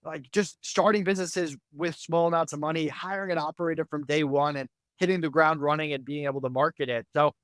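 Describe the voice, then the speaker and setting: A man in his thirties, a candid entrepreneur guest, speaks in a fairly high voice. He talks quickly and loosely, with many fillers. The sound is dry and close to the mic. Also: steady tone, fairly bright tone, smooth, no echo. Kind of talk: conversational interview.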